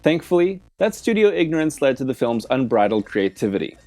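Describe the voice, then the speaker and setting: Male video essay narrator, mid-pitched and animated in intonation, scripted read over a music bed. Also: brisk. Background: background music.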